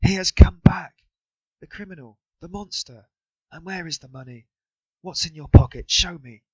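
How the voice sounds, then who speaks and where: A shrill, frantic destitute mother hurls accusations in a raised, strained voice that cracks upward, as a husky-voiced male narrator puts her on. Her cries are loud and high. The recording is dull and dry. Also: moderate pace, dry recording. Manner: shrieking, accusing outbursts.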